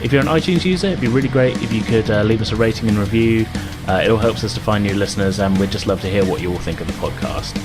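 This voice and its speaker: A man's voice: lowish voice, fast, few pauses, over music. A man talking casually as game master and host of a tabletop role-playing podcast.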